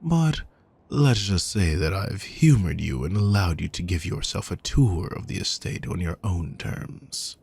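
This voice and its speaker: A man playing a possessive, menacing aristocratic villain in a roleplay audio, with a husky, gravelly voice and a condescending, sardonic manner, speaking intimately to the listener. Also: low voice, expressive, a little slow, a little mellow, exaggerated voice. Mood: teasing, possessive. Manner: smooth-worded, condescending, darkly sardonic.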